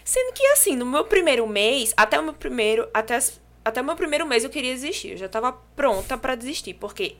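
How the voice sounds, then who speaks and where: Young brazilian woman on a podcast, bright higher voice, talks fast and animated, tells her story. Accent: Brazilian Portuguese, from João Pessoa. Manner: lively, animated storytelling with restarts and laughs. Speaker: podcast guest. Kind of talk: casual podcast chat.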